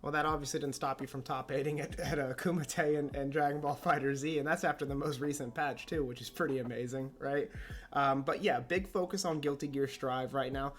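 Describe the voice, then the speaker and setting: A man's voice: mid-range voice, steady tone, fairly quick, soft background music. A man interviewing a player for an esports team, upbeat and rambling, often saying how awesome things are. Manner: upbeat, repeats "that's awesome", rambling questions. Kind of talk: casual interview.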